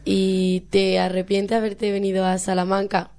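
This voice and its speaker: A woman's voice: mid-range voice, completely flat delivery, normal speed, a little mellow. Young woman co-hosting a radio chat. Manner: curious follow-up questions. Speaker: radio co-host. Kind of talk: casual radio interview. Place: radio studio.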